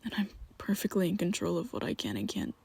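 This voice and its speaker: A man playing a gravelly whispering vampire. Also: high voice, sing-song, no echo, exaggerated voice. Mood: hushed confessional. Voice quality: soft close whispering. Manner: halting, confessional, with restarts. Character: young adult male vampire, guilt-ridden protective best friend. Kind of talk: ASMR roleplay audio.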